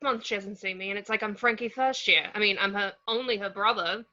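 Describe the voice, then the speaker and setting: Woman as a bright-voiced grumbling teenage wizard boy. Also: mid-range voice, fairly quick, dull audio, close to an everyday voice. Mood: exasperated. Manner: blunt, grumbling. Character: grumpy, blunt teenage wizard boy, exasperated. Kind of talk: screenplay table read.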